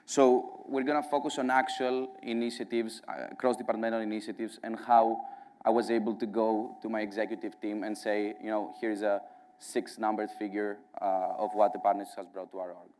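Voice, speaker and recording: A man in his thirties from Greece with a strong Greek accent, giving a casual conference talk on a dark stage, with a lot of fillers and restarts. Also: fairly low pitch, little pitch movement, normal speed, background noise. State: jet-lagged. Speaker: conference speaker.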